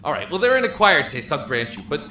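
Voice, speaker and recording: An actor playing a lonely, emotional young man in a prison cell in an audio drama, talkative and halting. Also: on the high side, big pitch swings, pushed and bright, a little hiss or hum. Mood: rueful, determined. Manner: halting, rambling, effusive. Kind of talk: audio drama acting with first-person narration. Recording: echoey room with music behind.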